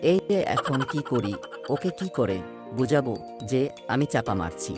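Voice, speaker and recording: With music playing clearly behind, a man plays a boastful cartoon boy chatting casually with his pals. He is slippery and evasive, always bluffing in a mid-pitched voice. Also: sing-song, a little slow, a little mellow. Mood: worried aside. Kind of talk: Bengali cartoon dialogue.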